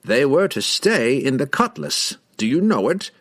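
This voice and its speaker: Fantasy storybook wizard: oily, amused schemer, raspy and grandiose, lifted pitch with wide swings. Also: male voice, sing-song, no echo, clean studio sound. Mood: amused, confident. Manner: grandiose, drawn-out, courtly delivery.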